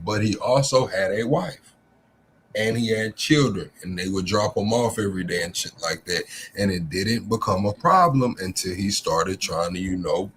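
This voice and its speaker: Man, stream debate host, lowish voice, slangy storytelling, faint background noise. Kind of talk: casual panel debate.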